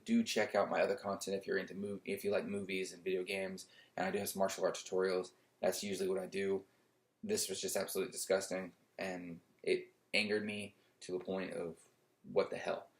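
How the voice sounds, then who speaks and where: A man with a loud, low voice vents his indignation straight to the camera at home. He keeps his pitch level and flat, circles back over the same points and restarts his sentences as he talks. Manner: indignant, repetitive, restarts often. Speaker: YouTuber. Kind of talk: casual opinion rant to camera.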